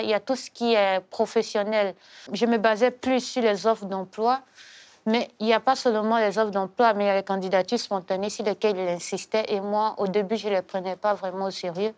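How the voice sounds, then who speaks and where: A young woman from Ivory Coast, a social worker, answering interview questions in a measured way with frequent pauses. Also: mid-range voice, dry recording. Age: young adult. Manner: measured, hesitant with fillers. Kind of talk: casual interview. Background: soft music.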